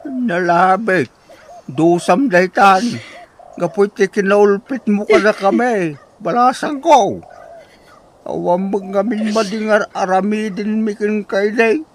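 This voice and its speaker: A woman's voice: mid-range voice, takes it slowly, a little hiss or hum, natural voice. A woman playing a reproachful, grudging elderly parent in an Ilocano radio drama, with an Ilocano accent. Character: reproachful, slow-speaking elderly parent, finally relenting.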